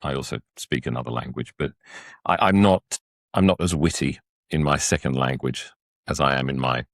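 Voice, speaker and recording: Male host, extremely deep voice, measured hesitant questions, dry close sound. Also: dry recording. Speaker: podcast host. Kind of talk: interview questions.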